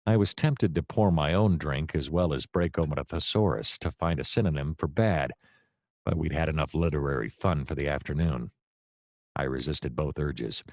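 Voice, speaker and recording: An actor playing a wry, deadpan private detective who narrates a noir comedy radio play in wordy style. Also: male voice, a bass voice, normal speed, no echo, studio quality. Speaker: narrator and lead character.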